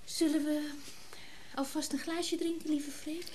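Radio drama role: candid, flirty wealthy wife, voice on the higher side, chatty, halting delivery. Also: female voice, level tone, a little mellow, slight background noise. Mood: flirtatious, frank. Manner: chatty, hesitant, breaking off mid-thought. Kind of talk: naturalistic radio drama acting.

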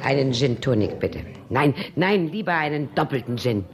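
Very low-voiced tipsy woman drinker. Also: expressive, normal speed, over a noisy crowd. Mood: tipsy, chatty. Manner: rambling, muttering to herself, coquettish. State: drunk. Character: tipsy, remorseful woman drinker, sentimental and chatty. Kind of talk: radio play acting.

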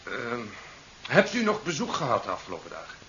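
A man's voice: mid-range voice, huge pitch swings, fairly quick, fairly bright tone. An actor playing an affable, deferential village policeman in a 1970s radio play, polite, folksy and apologetic. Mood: hesitant, dutiful. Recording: muffled 1970s radio recording with hiss.

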